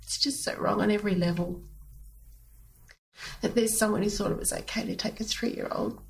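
A woman's voice: medium pitch, steady tone, moderate pace, vocal fry. An Australian woman recalling a personal experience in an interview, emphatic and repeating her phrases. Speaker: interview guest, foster mother.

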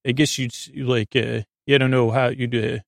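A man hosting a bedtime podcast in a rambling, hesitant monologue, gently reassuring, stammering and circling back as he goes. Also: mid-range voice, level tone, normal speed, gravelly, no echo, studio quality. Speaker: host of a bedtime sleep podcast.

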